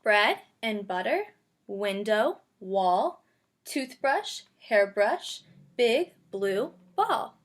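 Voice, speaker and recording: Slow-paced woman teacher reading to children. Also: mid-range voice, lively intonation. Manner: simple, instructive, addresses children directly. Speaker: kindergarten teacher reading aloud. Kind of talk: read-aloud lesson for young children. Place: bedroom at home.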